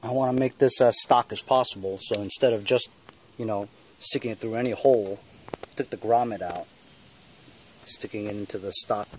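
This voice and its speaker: Guy doing a casual how-to, kinda deep voice, thinks out loud, says you know a lot, noisy bg w crickets. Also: fairly even intonation. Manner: halting, thinking aloud, often tagging "you know". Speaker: host of a DIY repair vlog. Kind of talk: casual hands-on tutorial narration.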